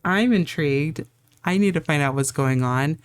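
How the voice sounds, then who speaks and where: A woman doing a playful let's-play of a visual novel, reading and commenting in a theatrical, expressive way. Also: deep voice, normal speed, clear, resonant tone, no echo, clean studio sound.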